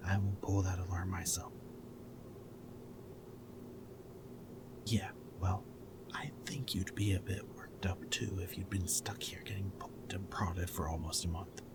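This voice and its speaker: Very deep-voiced, wry rebel man, acted by a man. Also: fairly even intonation, measured pace. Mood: urgent, angry. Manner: wry, teasing, intimate. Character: goofy, flirty captured rebel, protective and defiant man. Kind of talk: dystopian roleplay audio acting. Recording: background music, loud ambient noise in places.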